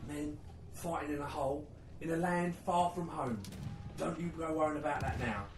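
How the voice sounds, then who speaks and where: A man's voice: fairly high pitch, moderate pace, far from the mic, soft background music, natural voice. A man playing a dutiful, careworn British army sergeant in a war radio play, firm, earnest and paternal. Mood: quiet, reassuring. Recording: roomy, off-mic, faint background noise.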